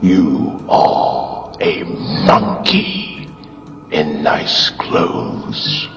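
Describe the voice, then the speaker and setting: Very slow, deep, cavernous fungal god. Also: male voice, fairly even intonation, fairly bright tone, lo-fi sound, full character voice. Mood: solemn contempt. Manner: slow, solemn, deliberate pronouncements. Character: vast fungal god creature, contemptuous of humanity. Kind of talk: dramatic comic narration. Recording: cavernous reverb, distant, faint music behind.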